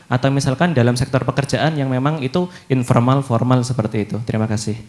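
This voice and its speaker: A man, an audience member asking a halting question at a book launch. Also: mid-range voice, steady tone, a little mellow. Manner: hesitant, halting.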